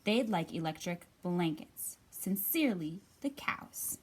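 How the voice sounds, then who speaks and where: A woman voicing polite but firm storybook farm animals reading out their notes in a formal, measured way. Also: fairly low pitch, animated, slightly rough, voice pushed down.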